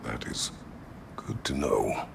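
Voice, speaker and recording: An actor playing a stern, brooding Greek warrior god in a video game, with a gruff voice and terse, solemn delivery. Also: male voice, slow, in a whisper, natural voice. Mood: stern, guarded. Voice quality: very deep, gravelly. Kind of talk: dramatic video game acting. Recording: music behind the voice.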